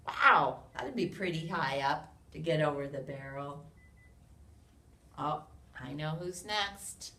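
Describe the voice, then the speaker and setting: An American woman reads a picture book aloud to young children in an expressive way, with sound effects, questions and hushed asides to her listeners. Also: low voice, slow pace. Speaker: children's read-along reader. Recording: roomy, distant mic with noise.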